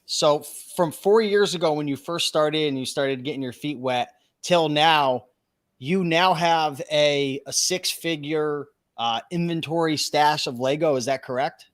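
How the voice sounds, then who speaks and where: A man's voice: on the high side, a little slow. A man hosting an interview, asking friendly, leading questions in a casual tone. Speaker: host, interviewer.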